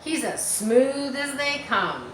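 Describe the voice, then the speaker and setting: A woman's voice: high voice, big pitch swings, slow, pitched above the performer's own voice, exaggerated voice. A plain, agreeable farmhand from a storybook, acted out by a woman. He is admiring and emphatic. The room echoes and there is faint noise behind. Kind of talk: children's storybook read aloud. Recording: roomy echo, faint background noise.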